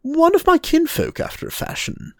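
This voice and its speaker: A man's voice: very high-pitched, moderate pace, dry recording, studio quality, pitched above the performer's own voice. A man voicing a sly, sonorous foreign nobleman. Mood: amused, smooth. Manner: smooth, sonorous and faintly amused. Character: suave, mocking foreign nobleman of middle age.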